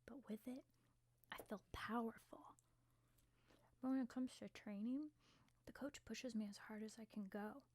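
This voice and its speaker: A woman voicing a brash young female gymnast in a casual, slangy, breathy whisper for an ASMR roleplay. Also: fairly high pitch, moderate pace, pauses often, darkish tone, lightly acted. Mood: candid, confident.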